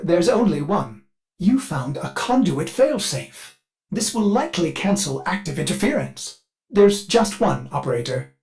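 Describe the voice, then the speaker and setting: A man's voice: a little high, a little mellow. An actor playing a fussy, devoted male ship AI in a video game, eager and anxious, with a polite, theatrical manner. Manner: polite, theatrical, refers to self in third person. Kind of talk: video game companion dialogue.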